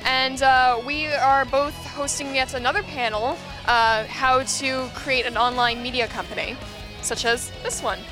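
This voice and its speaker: A woman's voice: high-pitched, fairly even intonation, unhurried, over music. A young woman co-hosting convention coverage, speaking casually and hesitantly. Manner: hesitant, many ums and restarts. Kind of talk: casual chat and interviewing.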